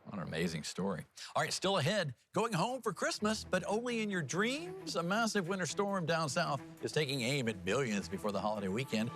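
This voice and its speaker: A man's voice: fairly high pitch, sing-song, a little fast, few pauses, fairly bright tone, soft background music. A man working as a news anchor, presenting headlines and slipping into casual, jokey banter. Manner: jokey, conversational.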